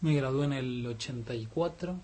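A man of about fifty with a Buenos Aires Argentine accent, being interviewed and answering in a halting, agreeable way with restarts. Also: mid-range voice, darkish tone. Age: around 50. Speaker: architect guest. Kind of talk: conversational interview. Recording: faint background noise.

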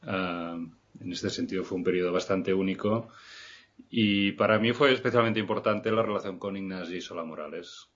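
A middle-aged man from Barcelona, guest on an interview podcast, speaking in a measured, hesitant way with many restarts. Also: low voice, fairly bright tone. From Barcelona, Spain. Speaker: guest architect. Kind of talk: reflective interview talk. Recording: slightly muffled podcast recording.

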